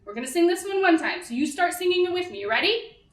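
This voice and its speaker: A woman leading a toddler storytime in simple short phrases, with an animated, sing-song, encouraging manner. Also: very high voice, fast talker. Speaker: children's librarian leading storytime. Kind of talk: toddler storytime, singing-along instruction and read-aloud. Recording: echoey room.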